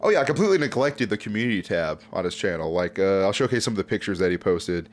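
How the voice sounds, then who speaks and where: A man with a fairly low voice hosts a video with loose, unscripted commentary. He talks informally and chattily, wandering from one thought to the next like someone speaking casually to viewers. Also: lively intonation, fast. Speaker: YouTube host reviewing fan videos.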